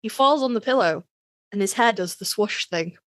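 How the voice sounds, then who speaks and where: A woman chats as a podcast co-host in a close, dry recording. Her pitch sits mid-range, and she talks casually, with plenty of swearing and self-mocking fan enthusiasm. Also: expressive, normal speed, a bit bright, no room sound.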